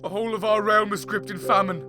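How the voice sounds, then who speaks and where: A man's voice: high voice, fairly even intonation, fast, natural voice. An actor, a man, playing a grim, merciless medieval fantasy king with brooding, formal, archaic delivery. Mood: bitter, dark. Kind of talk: dramatic fantasy acting. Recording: loud string music behind. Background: bowed string music.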